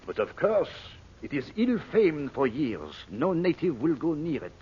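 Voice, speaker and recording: An actor playing an excitable, loyal Frenchman with a French accent in a 1940s radio serial, often repeating himself. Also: on the high side, measured pace, slight background noise. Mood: excited, ominous. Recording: muffled old radio recording.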